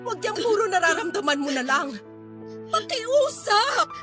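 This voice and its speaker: A fierce, anguished grandmother in a radio drama. Her voice is very high, tearful and emphatic as she scolds and pleads, with music playing underneath. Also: sing-song, a little slow, full character voice. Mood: tearful pleading. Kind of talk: Tagalog radio drama acting.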